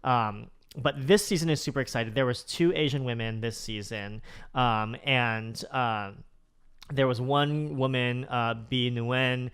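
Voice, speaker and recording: Podcast guy rambling excitedly, tons of like, smooth clear voice. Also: medium pitch, a little slow. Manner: rambling, full of "like" and restarts, enthusiastic. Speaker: podcast co-host. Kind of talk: casual chat.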